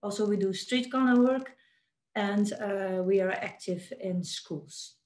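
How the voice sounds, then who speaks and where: A woman from the Netherlands introducing her youth organization, hesitant and trailing off quietly. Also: mid-range voice, takes it slowly, roomy sound.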